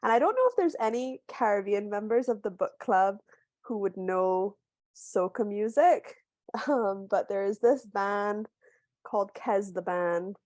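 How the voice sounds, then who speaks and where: A woman from Barbados, an author, talking through a video call. She tells her story thoughtfully with small restarts and sounds a little hoarse from a cold. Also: mid-range voice, lively intonation, unhurried, darkish tone, no room sound. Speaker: author guest. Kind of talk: casual book club video call conversation.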